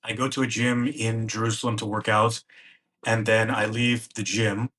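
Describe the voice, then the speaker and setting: A man's voice: lowish voice, level tone, moderate pace, a bit bright. A man, a podcast guest, recounting a personal travel story in present tense and acting out the dialogue he remembers. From United States.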